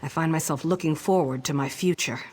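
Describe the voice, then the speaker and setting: Performer as hopeful, resolute young-spirited heroine, fantasy game; rough-edged husky low tone. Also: brisk, a little mellow, lightly acted.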